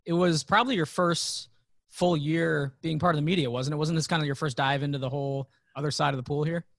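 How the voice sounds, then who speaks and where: Casual male interview host, slightly high voice, dry close recording. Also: fairly even intonation, fairly quick, vocal fry, no echo. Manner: casual, easy questioning with fillers. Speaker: podcast host.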